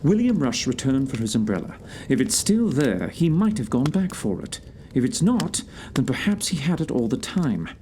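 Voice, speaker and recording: An actor playing a shrewd, commanding gentleman detective in an audio theatre drama, with a deliberate, authoritative manner and old-fashioned phrasing. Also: mid-range voice, very animated, slight background noise, natural voice. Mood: urgent, decisive.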